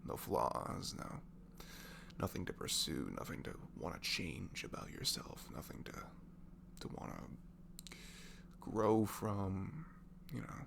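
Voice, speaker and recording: A man speaking softly and breathily in an improvised, comforting ASMR voice message, rambling affectionately and informally as if to a close friend. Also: low-pitched, expressive, slow, vocal fry, long pauses, background noise. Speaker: amateur ASMR audio creator playing a supportive friend.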